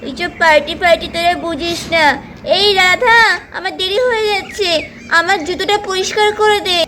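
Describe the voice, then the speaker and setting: A vain and bossy doll mother from a children's cartoon , acted out by a woman. Her voice is extremely high and her temper short, with a haughty, scolding way of talking. The home-made recording has clear background noise. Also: steady tone, moderate pace. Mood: bossy, angry.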